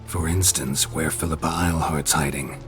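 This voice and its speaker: An actor playing a stoic, weary monster hunter in a video game, terse and deadpan, with a gravelly voice. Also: male voice, moderate pace, soft background music. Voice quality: gravelly low voice.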